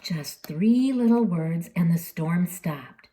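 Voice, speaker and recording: Animated woman reading a children's storybook. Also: on the low side. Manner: dramatic, with deliberate pauses and emphasis.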